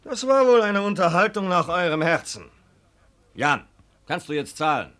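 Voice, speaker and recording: An actor playing a hard, greedy farmer in a 1950s German radio play, sneering, cutting and overbearing. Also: male voice, sits high, big pitch swings, moderate pace, a bit bright. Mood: threatening. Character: hard, greedy, scornful farmer son-in-law. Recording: vintage 1950 radio recording.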